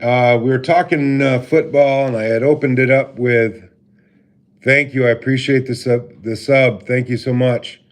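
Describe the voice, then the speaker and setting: A man with a deep voice hosting a casual livestream, greeting viewers by name and talking with many halting filler pauses. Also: fairly even intonation. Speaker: livestream host. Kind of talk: casual live stream chat with viewers. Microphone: laptop mic.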